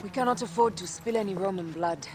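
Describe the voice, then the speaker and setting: A woman voices a blunt, determined warrior woman in a middle-pitched, firm voice. She speaks tersely over loud game music. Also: fast, close to an everyday voice.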